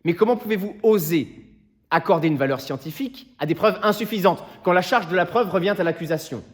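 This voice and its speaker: A man playing an earnest, impassioned defence lawyer, delivering a solemn theatrical plea to a jury in deliberate rhetorical phrases. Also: fairly high pitch, lively intonation. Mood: indignant, insistent. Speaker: actor performing a monologue. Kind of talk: theatrical courtroom closing speech.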